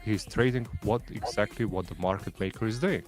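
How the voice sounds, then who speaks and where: A lower-pitched man with a Hungarian accent speaks haltingly, repeating words and filling gaps with fillers. Faint music sits in the background. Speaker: guest trader. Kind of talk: casual live-stream chat.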